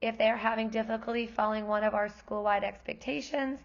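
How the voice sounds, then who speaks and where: Teacher lady losing her voice, kinda gravelly and worn, friendly explaining to parents, a bit lo-fi. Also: fairly high pitch, fairly even intonation, quick pace. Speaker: kindergarten teacher. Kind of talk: parent night presentation.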